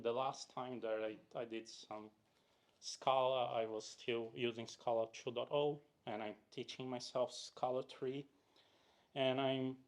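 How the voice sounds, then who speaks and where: A man from Brazil giving a casual technical talk to a live audience, chatty and full of fillers and restarts, often asking the crowd questions. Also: mid-range voice. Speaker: conference speaker. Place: meetup room in front of an audience.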